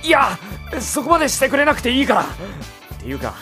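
A woman's voice: high voice, big pitch swings, fast talker, a bit bright, soft background music. A woman playing an easygoing, awkward young man in an anime-style audio drama, talking in a casual, hesitant and apologetic way. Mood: awkward, flustered. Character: easygoing, awkward young male master of the mansion.

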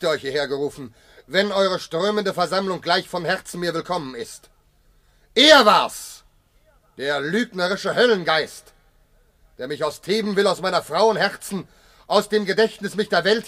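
A proud, passionate commander wronged as a husband. The voice is high for a man. He declaims classical radio-drama verse with solemn, impassioned force. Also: lively intonation, moderate pace, fairly bright tone. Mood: solemn indignation.

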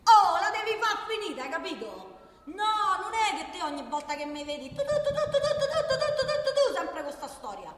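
A woman's voice: extremely high pitch, sing-song, normal speed. An actress playing a feisty working-class woman with a Roman accent, badgering and exasperated, repeating herself in a theatrical radio monologue. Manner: exasperated, repetitive, colloquial, badgering. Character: feisty working-class woman arguing for high-speed rail. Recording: distant, echoey hall with noise.

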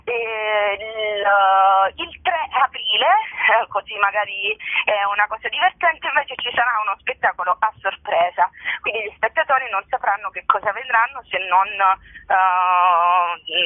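Woman guest on a radio phone-in, narrow tinny line, keeps pausing mid thought. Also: mid-range voice, no room sound. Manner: halting, hesitant phrasing with drawn-out pauses. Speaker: interview guest, theatre festival organiser. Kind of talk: informal radio phone interview. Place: phone call into a radio show. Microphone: telephone.

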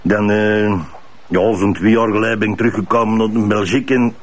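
A man's voice: on the low side, fairly even intonation, normal speed, lightly acted. A man playing a cocky, evasive petty crook being questioned in a radio drama, with a Flemish accent and a brash, slangy manner. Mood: flippant. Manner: brash, slangy, grumbling, flippant. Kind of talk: improvised radio drama interrogation.

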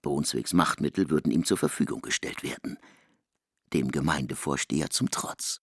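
A man's voice: extremely low pitch, moderate pace, vocal fry, fairly bright tone, breathy edge, dry recording, clean studio sound. A man narrating a literary radio play as a dramatic storyteller.

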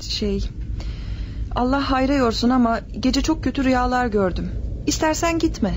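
Gentle devoted wife, higher voice, soft way of talking, faint tape hiss. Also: female voice, expressive, normal speed, rough recording. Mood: worried. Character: Maria, a devoted mountain wife, anxious. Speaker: radio drama actor. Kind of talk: classic radio play acting.

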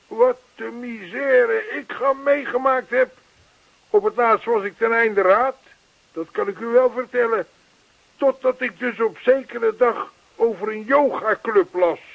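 A man's voice: very high-pitched, vocal fry, no room sound, heavily acted. A man playing an earnest, chatty older neighbour in a radio play, persistent, reassuring and long-winded. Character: earnest, chatty older male neighbour zealous about yoga. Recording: thin, phone-like old radio play recording.

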